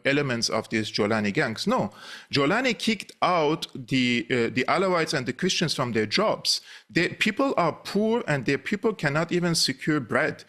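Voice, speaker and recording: A middle-aged man with a Syrian accent speaks as a political commentator, at an ordinary male pitch. His phrasing is emphatic and insistent, often repeating for weight. He is close on a studio microphone. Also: fairly bright tone. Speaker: political commentator, interview guest. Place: small indoor studio. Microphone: studio boom mic, close.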